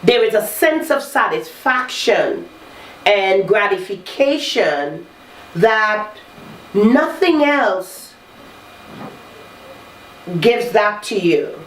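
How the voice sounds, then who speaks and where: A woman hosting a talk show, congested from a cold, coaching listeners in a slow, measured way. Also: mid-range voice, big pitch swings. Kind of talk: reflective self-help talk. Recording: roomy echo, distant mic, faint noise.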